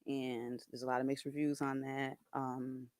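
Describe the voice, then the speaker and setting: A soft-voiced man talking casually to camera, hesitant, with many ums and restarts. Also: medium pitch, little pitch movement, unhurried, dry recording, clean studio sound. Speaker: video creator.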